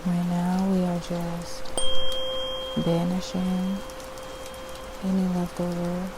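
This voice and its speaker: A woman speaking gently and reassuringly in an ASMR style, as a spiritual healer guiding a cleansing. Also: on the low side, completely flat delivery, heavy background noise. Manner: gentle, reassuring, repeating words slowly. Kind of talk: ASMR, soothing guided cleansing.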